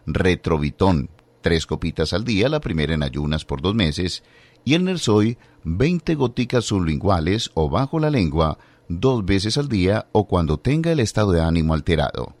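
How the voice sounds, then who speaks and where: A man reading a radio product advertisement, listing doses in a brisk, lilting way. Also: low voice, sing-song, darkish tone, dry recording. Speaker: advertisement announcer.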